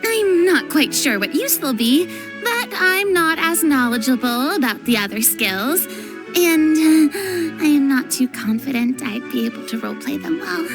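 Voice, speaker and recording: A woman's voice: high voice, lively intonation, moderate pace, over music. A woman voicing a cautious young woman who is new to role-play and speaks hesitantly. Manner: hesitant, careful, polite. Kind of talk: game voice acting.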